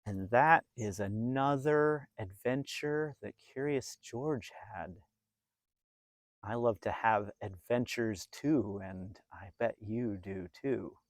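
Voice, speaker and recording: A storyteller reading a picture book aloud to young children in an expressive, animated way with dramatic pauses. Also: mid-range voice, dry recording.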